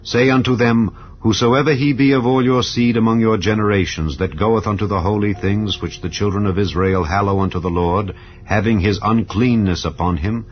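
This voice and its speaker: Very deep male voice, solemn and formal, dramatized scripture reading, slightly dull lo-fi sound, soft music underneath. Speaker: scripture reader.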